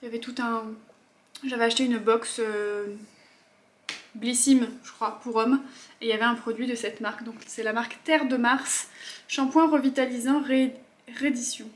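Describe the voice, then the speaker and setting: A young woman chatting casually as she unboxes beauty products at home, with quick asides and murmured afterthoughts. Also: on the high side, fairly even intonation. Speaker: beauty vlogger.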